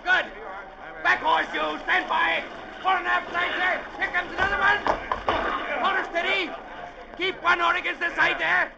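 A man's voice: very high voice, little pitch movement, measured pace, creaky, exaggerated voice. An actor playing a domineering, irascible sea captain in his seventies in an old-time radio drama, barking and grumbling. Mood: shouting orders. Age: 70s. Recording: muffled, noisy vintage radio recording.

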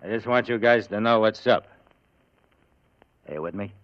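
Guy doing a low edgy american mobster boss, slangy tough talk, old muffled radio show sound. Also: moderate pace, natural voice. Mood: bossy, angry. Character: tough, edgy gangster gang boss, domineering. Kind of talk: 1950s American radio crime drama acting.

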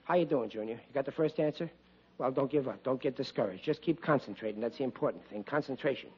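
Husky, high-voiced blustering dad. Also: male voice, animated, a little fast, heavily acted. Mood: hushed, fussing. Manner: exasperated, stammering, colloquial working-class bluster. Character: blustering, stubborn, dim but well-meaning family father. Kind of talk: old-time radio sitcom acting. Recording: dull, muffled vintage radio recording.

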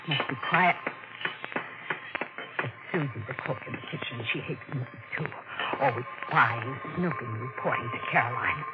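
An actress playing a frightened, distraught wife in a 1940s radio drama, with a halting, breathless, stammering delivery. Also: female voice, low-pitched. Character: frightened, distraught young wife suspecting murder. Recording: noisy, muffled vintage radio recording.

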